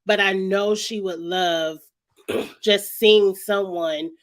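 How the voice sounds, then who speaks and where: Chatty woman with a slightly raspy, congested voice. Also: mid-range voice, steady tone, takes it slowly. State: lingering cold with sinus congestion, clearing throat often. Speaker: YouTube show host reviewing reality TV. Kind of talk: casual vlog commentary to viewers.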